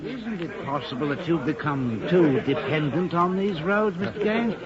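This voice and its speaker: A man plays a mild, anxious male visitor in a vintage radio drama. His fairly high voice moves around a lot as he asks hesitant, prim questions. The recording is dull, with crowd sound underneath. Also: lively intonation, normal speed, lightly acted. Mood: politely curious. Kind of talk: 1950s radio drama acting. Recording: muffled vintage radio broadcast with background crowd.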